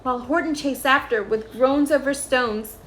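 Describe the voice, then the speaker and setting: A woman reading a rhyming children's story aloud in an expressive, measured storytelling style. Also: high-pitched, slight background noise, low-quality recording.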